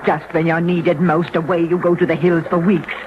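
A woman's voice: lowish voice, level tone, normal speed, lightly acted. An actress playing an exasperated old peasant mother, scolding her son theatrically in a 1940s radio drama. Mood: accusing. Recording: muffled 1940s radio broadcast, faint noise.

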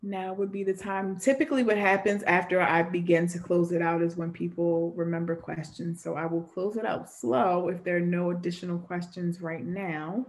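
A woman's voice: lowish voice, steady tone, moderate pace, hardly any pauses. A young woman moderating an online Q&A over a video call from a room at home. She explains admissions steps and relays questions from the chat. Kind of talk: informational webinar Q&A. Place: home room with framed pictures.

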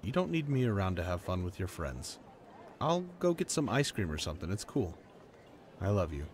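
A man's voice: low-pitched, very animated, normal speed, a little hiss or hum. A man playing an easygoing, affectionate young adult boyfriend in a roleplay audio drama, speaking in a laid-back, brief way. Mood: subdued, affectionate. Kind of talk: roleplay audio drama, soft conversational acting.

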